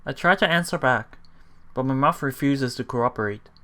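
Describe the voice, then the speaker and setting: A man's voice: medium pitch, normal speed, sharp tone. A man hosting a let's play, reading a visual novel aloud and adding casual reactions, sometimes repeating himself. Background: game music.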